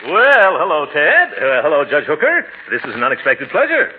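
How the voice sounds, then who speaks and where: A man's voice: fairly high pitch, huge pitch swings, normal speed. An actor playing a pompous, blustering middle-aged uncle in a 1940s radio comedy, hemming and hesitating as he goes. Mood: genial bluster. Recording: muffled, noisy old radio broadcast.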